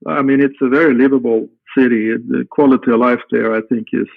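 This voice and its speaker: A middle-aged man from Sweden with a Swedish accent, speaking English as a guest in an unhurried, halting way with many restarts. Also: mid-range voice, level tone, vocal fry, no room sound. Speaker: guest, company founder. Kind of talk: casual interview answers. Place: home room at night. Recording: tinny phone-like call line.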